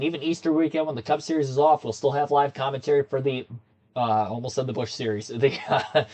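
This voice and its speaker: Slightly hoarse chatty young male streamer. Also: on the high side, darkish tone. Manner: chatty, talks straight to his viewers. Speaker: racing commentary streamer. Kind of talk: casual livestream commentary. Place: small room indoors at a desk.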